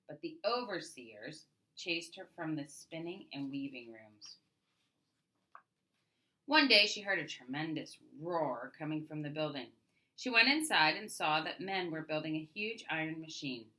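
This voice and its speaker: Low, bright-voiced woman reading a storybook. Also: rough recording. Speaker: storybook reader. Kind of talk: scripted children's story narration, read aloud.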